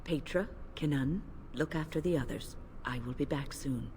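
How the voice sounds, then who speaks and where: Older female mage, stern, protective, formal measured speech, fantasy game voice. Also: extremely low pitch, darkish tone, a little hiss or hum. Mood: resolute. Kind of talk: video game voice acting.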